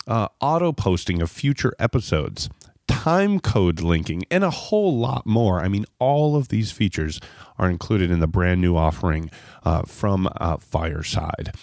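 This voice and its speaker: A man's voice: sits low, darkish tone, no echo, clean studio sound. A man hosting a podcast, speaking in a conversational, folksy way as he presents and chats. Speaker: podcast host. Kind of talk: ad read and interview chat.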